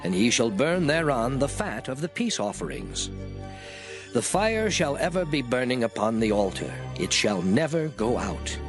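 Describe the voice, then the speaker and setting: A man giving a solemn, declarative, measured dramatized reading of old scripture. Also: medium pitch. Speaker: scripture reader. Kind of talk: dramatized King James Bible reading, scripted. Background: music.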